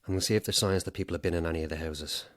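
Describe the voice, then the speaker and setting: An actor plays a tense Irish husband in a horror audio drama. His voice is deep, and his phrases are short and commanding. He sounds insistent and gripped by fear. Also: steady tone, very fast, close to an everyday voice. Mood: grimly determined. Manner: terse, commanding, clipped phrases.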